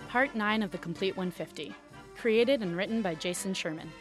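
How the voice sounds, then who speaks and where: A woman's voice: medium pitch, normal speed. A woman reading scripted closing credits as a podcast announcer. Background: music.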